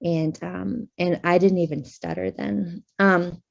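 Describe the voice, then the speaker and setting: A woman with a somewhat low voice speaks candidly and conversationally, often pausing on fillers as she talks to a conference audience. The audio is dull and lo-fi. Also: fairly even intonation, gravelly, darkish tone. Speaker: speech pathologist. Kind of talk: personal talk at a conference session.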